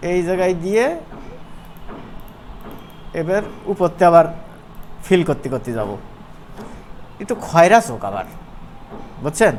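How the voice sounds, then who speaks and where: A man with a Bangladeshi accent giving an informal, playful software tutorial as an instructor, often checking in with his listeners. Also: sits high, slight background noise. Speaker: animation instructor.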